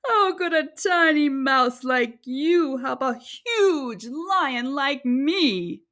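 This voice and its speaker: A woman's voice: high voice, expressive, very slow, fairly bright tone, exaggerated voice. A woman voicing a huge, proud male lion in a children's storybook, with a hearty, grand, gracious manner. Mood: amused. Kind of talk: children's storybook read-aloud.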